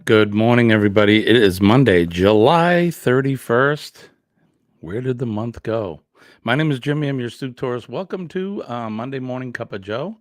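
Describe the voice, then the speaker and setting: A man's voice: mid-range voice, moderate pace, dry recording, clean studio sound. A man hosting a casual morning livestream, greeting viewers in an easygoing, joking way with many fillers. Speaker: livestream host.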